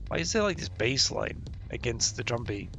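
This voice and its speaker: A man with a gravelly voice doing casual livestream game commentary, muttering as he thinks aloud and reads game text. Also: mid-range voice, very animated, moderate pace, fairly bright tone. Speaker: game streamer. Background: game music.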